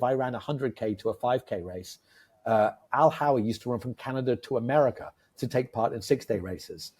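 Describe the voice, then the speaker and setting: A husky-voiced man in his fifties chats on a podcast with real enthusiasm. He tells stories in a loose, rambling way, with plenty of "uh"s and sudden jumps in excitement. His pitch sits in the middle for a man, with an edge of breathiness. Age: 50s. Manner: enthusiastic, animated storyteller with many fillers. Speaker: podcast co-host. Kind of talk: casual podcast chat.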